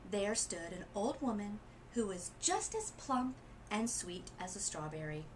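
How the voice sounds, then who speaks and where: A woman narrates a children's picture book in an expressive read-aloud style. Her phrasing is deliberate and dramatic, at a medium pitch, with noisy ambience in the recording. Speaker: literacy coach reading a picture book aloud.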